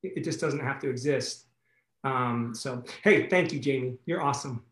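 A man hosting a community video call, chatting with excitable bursts and restarts. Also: medium pitch, echoey room. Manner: excitable, quick swings to loud bursts, repeats himself. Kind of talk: enthusiastic casual chat.

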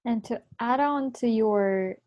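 A woman's voice: on the high side, slow pace, no room sound, highs cut off. A young woman, a native Japanese speaker with a Japanese accent, chatting casually as a podcast co-host. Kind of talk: casual chat.

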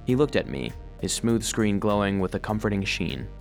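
Earnest, low-voiced robot storyteller. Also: male voice, lively intonation, normal speed, soft background music. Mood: heartfelt, relieved. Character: earnest, sensitive vacuum-cleaner robot telling his story. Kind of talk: scripted first-person storybook narration for children.

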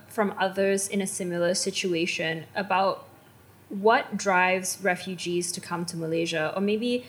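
A woman moderating a panel and asking the guests questions in a measured, polite way. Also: mid-range voice. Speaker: interviewer, moderator.

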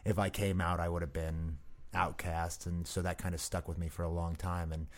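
Guest comic, 30s, very deep voice, casual and halting. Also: male voice, little pitch movement. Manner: halting, many restarts and fillers. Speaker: guest comedian. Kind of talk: casual interview chat.

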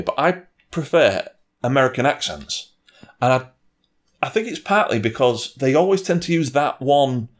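A man chatting casually and giving wry, opinionated reviews, with some swearing and mimicry. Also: medium pitch. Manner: wry, sweary, puts on mock voices while ranting. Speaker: film and game reviewer in a two-person discussion.

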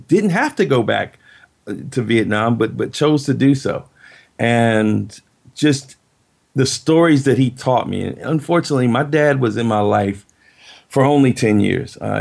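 A middle-aged man with a low, heavily gravelly voice and a husky edge. He talks slowly and reflectively, restarting phrases as he thinks aloud. He is a guest in a casual interview. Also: a little mellow. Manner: reflective, halting, many restarts.

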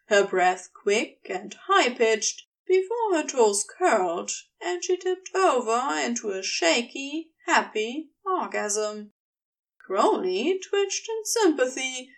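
Slow, animated, high-voiced woman reading fiction. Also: distant mic. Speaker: podfic reader. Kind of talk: expressive fiction narration, audiobook reading.

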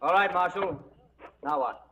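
A terse, defiant Old West gunfighter in a 1940s radio drama. His slightly high male voice rings with long reverb on a dull, hissy broadcast. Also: a little slow, a bit bright. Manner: terse, stubborn. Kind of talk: 1940s radio western acting.

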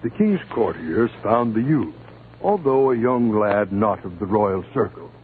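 A man's voice: on the low side, expressive, measured pace. A man narrating a scripted story in 1950 radio style, with a storyteller's dramatic delivery. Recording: tinny, noisy 1950 radio broadcast.

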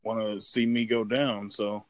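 A low-pitched man talking loosely, full of fillers and restarts. His voice sits close and dry, with no room sound around it. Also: flat delivery, unhurried, dry recording. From United States. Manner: rambling, filler-heavy, restarts often. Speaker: podcast guest. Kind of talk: casual chat.

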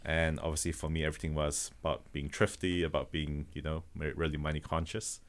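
A man from Malaysia with a slight Malaysian accent, a guest talking earnestly and haltingly in a casual podcast interview. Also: very deep voice, level tone. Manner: earnest, halting, thinks aloud. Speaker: guest, robotics business founder.

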